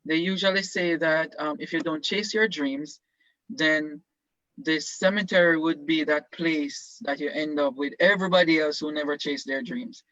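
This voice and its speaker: A man's voice: on the high side, steady tone, moderate pace, bright, forceful tone, no room sound. A male teacher leading an online class discussion, encouraging students and asking questions with many fillers. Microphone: video call. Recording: dull lo-fi video call audio.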